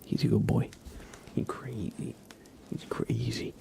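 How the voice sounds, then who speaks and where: Whispering man with a creaky voice. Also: medium pitch, flat delivery, unhurried, warm tone. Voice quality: breathy whisper. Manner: casual chat, greeting viewers by name. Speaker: livestream host. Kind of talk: whispered ASMR livestream.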